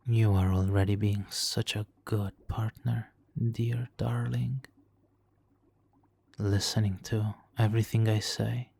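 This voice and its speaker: A man playing a smug, seductive supervillain hypnotist in an ASMR roleplay, speaking softly and theatrically with lapses into whispers. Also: on the low side, little pitch movement, takes it slowly, gravelly, breathy edge, exaggerated voice. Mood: pleased, hypnotic.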